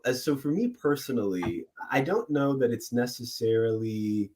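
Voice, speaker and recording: A man who works as a voice actor, telling stories in a casual interview with animated, excitable energy and frequent laughter. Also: medium pitch, normal speed. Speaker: voice actor guest.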